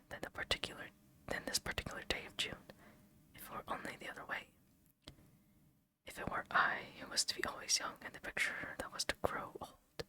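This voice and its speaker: A reader whispering the part of a vain, despairing young man in an audiobook. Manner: soft whisper.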